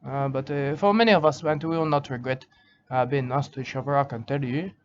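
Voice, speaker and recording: A man's voice: medium pitch, steady tone, fairly quick, a little hiss or hum. A man with an Australian accent talking in a rambling comic monologue outdoors, repeating himself in broken grammar. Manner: rambling, repetitive, broken grammar, keeps saying "mate". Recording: dull lo-fi recording with faint ambience.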